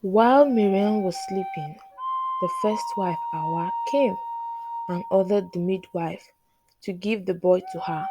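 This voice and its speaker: A woman storyteller reading a scripted folktale in measured, separate phrases. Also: mid-range voice, low-quality recording. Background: flute music.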